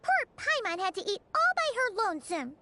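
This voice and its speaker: A woman voicing a small, excitable, childlike fairy companion in a video game, with a squeaky, chirpy voice. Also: fairly quick, a little hiss or hum, full character voice. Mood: excited, caring. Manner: chirpy, speaks of herself in third person. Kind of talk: video game character dialogue.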